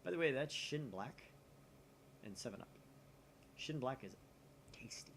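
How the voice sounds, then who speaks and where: A man with a lively, swinging delivery reads game text aloud in a theatrical way, slipping into casual asides. His pitch sits in an ordinary male range. There is light noise behind him on a modest room mic. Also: unhurried. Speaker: let's play host reading a visual novel aloud. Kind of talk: animated script reading with casual asides. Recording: noisy room mic, slightly off to the side.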